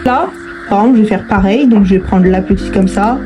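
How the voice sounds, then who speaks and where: A woman talks casually to her viewers while working with her hands. Her pitch is average for a woman, and her delivery is informal and hesitant, with trailing phrases and repeats. Music plays clearly behind her voice. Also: brisk. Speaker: video host doing a craft tutorial. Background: background music.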